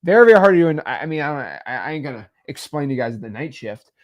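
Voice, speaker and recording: A man hosting a casual business chat, rambling and animated with lots of fillers. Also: fairly high pitch, very fast. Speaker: real estate podcast host.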